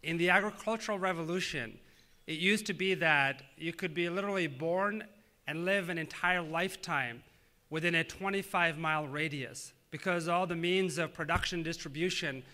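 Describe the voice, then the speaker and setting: A man in his thirties from Tanzania, a native Swahili speaker, giving an earnest, measured public speech with frequent "uh" fillers. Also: on the high side, sharp tone. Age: 30s. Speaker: government official giving a speech.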